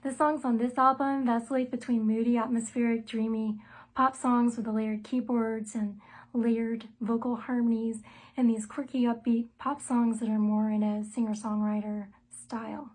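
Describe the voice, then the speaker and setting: A middle-aged woman musician with a light voice talks about her music in a thoughtful, measured way, pausing often on "um" and "uh". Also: a little high, flat delivery, a little mellow. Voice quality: light, soprano-range voice. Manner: thoughtful and measured, with frequent "um" and "uh" pauses. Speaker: musician and songwriter interviewed about her album. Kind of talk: self-told interview answers, conversational.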